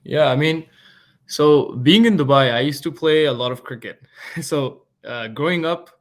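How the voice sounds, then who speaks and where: A man in his twenties, a podcast guest and product manager, talking in a relaxed, chatty way. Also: on the high side, moderate pace. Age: 20s. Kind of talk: casual interview talk.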